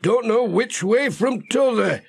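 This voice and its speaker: Man putting on a high-pitched grumbling Northern farmer. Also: vocal fry, husky, full character voice. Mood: complaining, gloomy. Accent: Northern English. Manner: mournful, drawn-out complaining with dialect words. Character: grumbling male farmer fretting over his hens.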